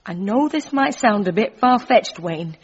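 A woman's voice: medium pitch, animated, husky. An actress with a British accent playing a blunt, no-nonsense, scolding woman in a comic audio drama. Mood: exasperated. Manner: brisk, scolding, colloquial. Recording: dull lo-fi audio.

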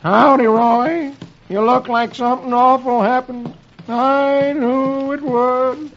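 A gloomy cowboy sidekick acted by a man from an old radio western. He stretches his words mournfully in a raised pitch, always expecting the worst. The recording is muffled and noisy. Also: flat delivery, exaggerated voice. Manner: mournful, drawn-out. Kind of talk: old radio comic-strip reading.

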